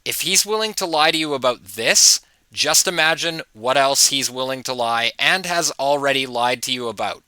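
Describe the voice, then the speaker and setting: Very bright, punchy man hosting political commentary. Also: medium pitch, normal speed, clear, resonant tone, no echo. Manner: informal, mocking, chuckling asides. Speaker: host of political commentary channel. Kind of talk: casual opinion commentary to camera.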